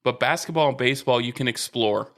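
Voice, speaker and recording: A man co-hosting a podcast, calmly explaining sports news and rules. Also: mid-range voice, fairly quick. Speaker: podcast co-host. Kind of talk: casual sports chat.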